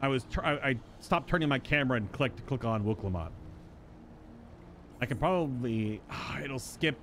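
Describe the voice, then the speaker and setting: A man's voice: mid-range voice, lively intonation, moderate pace, a little hiss or hum. A man doing casual live game commentary, musing and rambling as he thinks aloud. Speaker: game streamer.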